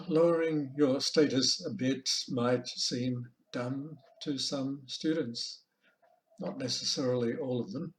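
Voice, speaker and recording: A man with an Australian accent presenting an informal online lecture as a language teacher, in a measured, deliberate, halting manner. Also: mid-range voice, low-quality recording. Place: online video call presentation.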